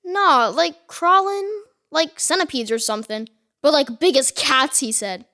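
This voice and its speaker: A woman's voice: high voice, measured pace, sharp tone, heavily acted. A woman playing an earnest, folksy small-town country kid in an audio horror drama. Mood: spooked gossip.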